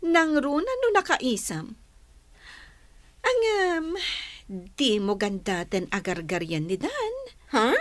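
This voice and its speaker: An actress playing a vain, possessive young office worker in a radio drama, with a gossipy, haughty manner. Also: female voice, sits high, huge pitch swings, takes it slowly, pauses often, no room sound, exaggerated voice. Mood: gossipy, smitten.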